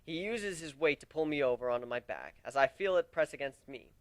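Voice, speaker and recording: A man giving a theatrical, expressive first-person dramatic reading of a story. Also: a little high, brisk, no room sound. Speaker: amateur reader of fan fiction.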